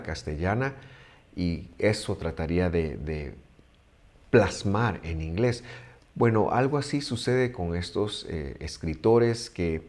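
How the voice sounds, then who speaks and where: A man who speaks Spanish as his first language, teaching a Bible study in a measured way with frequent pauses and restarts. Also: low voice, darkish tone.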